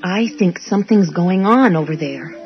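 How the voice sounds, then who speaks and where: An actress playing an earnest, suspicious young wife and dental assistant, speaking insistently in a radio drama. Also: female voice, on the low side, animated, normal speed, faint music behind, natural voice. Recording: dull lo-fi old radio broadcast.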